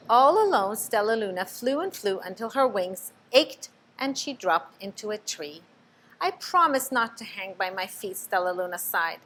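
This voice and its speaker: A woman reading a storybook aloud to children in an expressive, teacherly way, pausing to ask them questions. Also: medium pitch, slight background noise, low-quality recording. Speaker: storybook reader.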